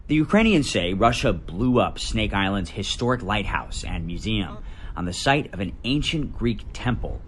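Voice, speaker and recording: Man, American accent, low pitch, scripted news narration, deliberate pauses, dramatic, light background noise. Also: sing-song, a bit bright. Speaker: TV news correspondent.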